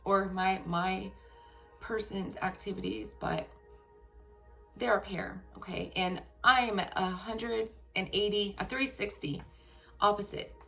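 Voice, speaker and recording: A woman vlogger tells her own story straight to her viewers, frank and emphatic, often pausing to check in with them. Her voice is gravelly and worn raspy from a long day. Soft music sits faintly underneath her. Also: medium pitch, steady tone. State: voice getting raspy after a long workday. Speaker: vlogger telling a personal story. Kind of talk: candid personal storytelling to viewers.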